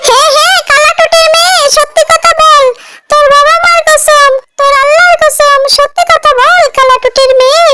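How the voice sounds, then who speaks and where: An actor voicing a lovesick, excitable little male cartoon ghost in a squeaky, childlike voice with colloquial speech. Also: moderate pace. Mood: hurt, angry.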